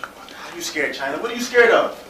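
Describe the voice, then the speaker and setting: A man's voice: a little high, expressive, normal speed, bright, forceful tone. A man acting on stage as a frustrated, determined young American man, pleading and insistent in slangy speech. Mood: reassuring. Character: frustrated, determined young man hustling for his pregnant partner. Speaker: stage actor. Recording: roomy distant stage recording with hiss.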